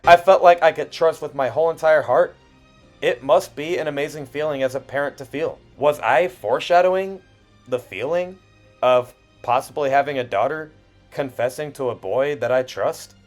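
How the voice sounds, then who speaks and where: A man narrating a personal video essay in an expressive way, with self-deprecating asides and dramatic pauses. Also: a little high. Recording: music under the voice. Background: background music.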